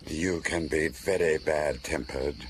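Deep, dry male confidant. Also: steady tone, unhurried. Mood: dry teasing. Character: dry, teasing male confidant listening to the detective. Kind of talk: radio drama acting. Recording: faintly noisy old radio broadcast.

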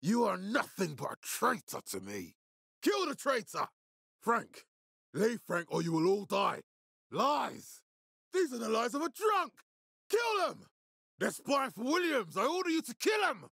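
A husky, commanding military leader. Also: male voice, very high-pitched, huge pitch swings, a bit bright, no echo, clean studio sound, full character voice. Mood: furious, commanding. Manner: authoritative, curt, measured. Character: commanding, menacing middle-aged male military leader. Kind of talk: dramatic video game voice acting.

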